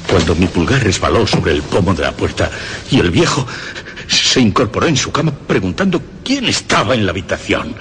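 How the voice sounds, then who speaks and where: An actor playing a deranged, cunning murderer in a radio horror monologue, brooding and theatrical, with dramatic pauses and sudden swells. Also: male voice, low voice, fairly bright tone, heavily acted. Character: deranged, cunning murderer confessing with morbid pride. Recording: long reverb, faint background noise.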